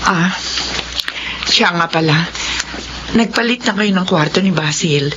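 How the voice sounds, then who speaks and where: A woman playing a remorseful, gentle and pleading mother of grown children in a Filipino radio drama. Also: fairly low pitch, unhurried, noisy background, close to an everyday voice. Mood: soft, careful. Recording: muffled radio broadcast with loud music.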